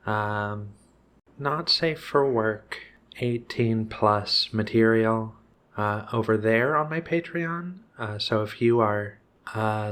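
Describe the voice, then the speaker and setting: A man giving soft-spoken channel announcements as an ASMR host, in a gentle, halting way. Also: medium pitch. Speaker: ASMR video creator and host.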